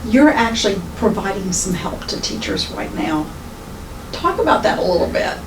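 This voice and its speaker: A woman interviewer talks gently and prompts her subject along, her voice sounding distant and picked up from across the room. The space is roomy and echoing, with constant noise and chatter in the background. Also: medium pitch, very animated, moderate pace. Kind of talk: casual interview questions. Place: noisy school building, indoors.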